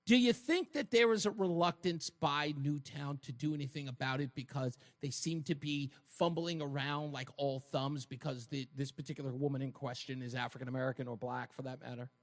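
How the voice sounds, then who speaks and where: A man hosting a radio talk show, speaking emphatically and conversationally, with quick interjections of agreement. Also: medium pitch, dry recording.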